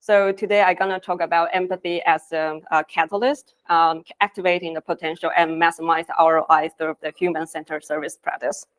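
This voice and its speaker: A woman's voice: lowish voice, level tone, brisk, few pauses. A woman from Taiwan, earnestly presenting at a conference in phrasing. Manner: earnest, with non-native phrasing. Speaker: conference speaker, design lead. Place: conference stage.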